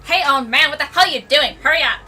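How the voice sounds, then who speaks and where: Woman as a high, scrappy teenage boy. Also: quick pace, bright, forceful tone, soft background music. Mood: urgent. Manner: brash, urgent, blunt. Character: scrappy, impatient, cheeky teenage boy in uniform.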